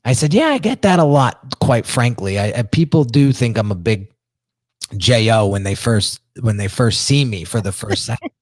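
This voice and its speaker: A middle-aged man with a strong New Jersey accent and a deep voice, hosting a podcast and telling stories in casual, animated chat, often repeating and restarting words. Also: moderate pace, no echo. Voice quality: deep register. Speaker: podcast host.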